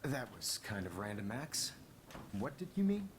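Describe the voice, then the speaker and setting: A man playing an encouraging, slightly pretentious photography teacher in a video game, with a measured, hesitant, lecturing manner. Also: mid-range voice, sing-song, slight background noise, close to an everyday voice. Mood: mildly bemused.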